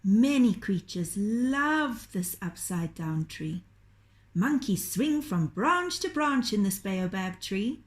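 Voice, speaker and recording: A woman reading a children's story aloud in a gentle, deliberate, expressive storytelling manner. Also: medium pitch. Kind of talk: children's storytelling, scripted narration.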